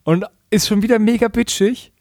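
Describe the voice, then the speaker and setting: A man's voice: high-pitched, steady tone, moderate pace, darkish tone, no room sound, clean studio sound. A man co-hosting a casual comedic recap podcast, slangy and mockingly acting out quoted dialogue. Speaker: podcast co-host.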